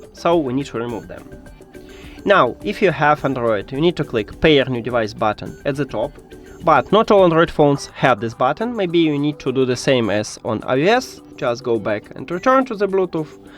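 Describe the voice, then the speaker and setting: Guy doing a how-to tech tutorial, pitch goes up and down a lot, bit halting, faint music. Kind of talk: step-by-step spoken tutorial.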